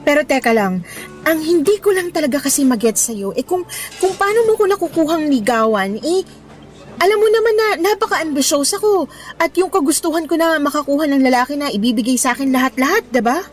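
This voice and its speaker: A woman's voice: high voice, expressive, brisk. A woman playing an ambitious, stubborn young worker in a radio romance drama, talking in an emphatic, exasperated, conversational way. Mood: torn, frank. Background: background music.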